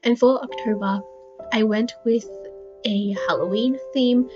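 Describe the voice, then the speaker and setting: A woman with a fairly high voice, a touch croaky from a recent illness, talks through her journaling in a soft, chatty way. The recording sounds a bit dull and muffled, with faint music playing under it. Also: unhurried. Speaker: bullet journal video creator. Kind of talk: voice-over narration of a crafting video. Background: faint background music.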